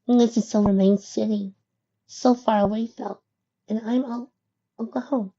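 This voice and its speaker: A man's voice: very high voice, a little slow, a little mellow, muffled recording, pitched above the performer's own voice, heavily acted. A man voicing a small, shy, lonely girl pony in a timid, hesitant murmur for a story. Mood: scared, tearful.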